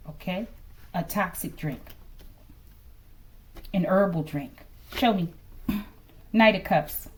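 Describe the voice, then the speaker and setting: A woman giving a casual tarot reading to her viewers, musing aloud and often ending phrases low as she addresses them. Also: warm tone, a little hiss or hum. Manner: musing, repeatedly addresses listeners as collective, trails off low at line ends. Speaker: tarot reader.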